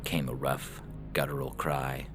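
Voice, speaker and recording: A man reading scripted dramatic fiction as a narrator, with measured, deliberate phrasing. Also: very deep voice, little pitch movement, fairly bright tone. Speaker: story narrator. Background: music.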